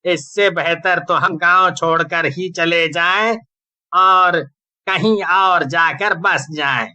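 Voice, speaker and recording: Worried village man, played by a male actor: emphatic, very high for a man, slow and crisp. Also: sharp tone, full character voice. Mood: fearful, dismissive. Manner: emphatic, exclaiming. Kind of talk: animated moral story character acting.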